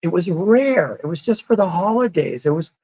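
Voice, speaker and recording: A man's voice: sits high, lively intonation, normal speed, a little mellow, no echo, dull audio. A man in a casual podcast conversation, explaining whole foods in a patient way and laughing often. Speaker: lifestyle medicine advocate.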